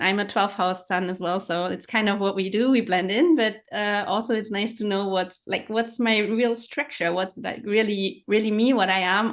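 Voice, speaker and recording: Reflective woman on a call. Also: mid-range voice. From Germany. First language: German. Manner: reflective, searching for words. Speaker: podcast guest. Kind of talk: casual conversation.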